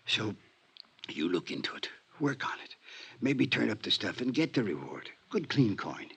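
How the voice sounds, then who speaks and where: An actor playing a dying old convict informant in a radio drama, raspy and breathy, scheming and eagerly insistent in rough slang. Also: male voice, on the low side, big pitch swings, moderate pace, fairly bright tone, full character voice. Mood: pleading, eager. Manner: slangy, insistent, wheezy whispering. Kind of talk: 1950s radio drama acting.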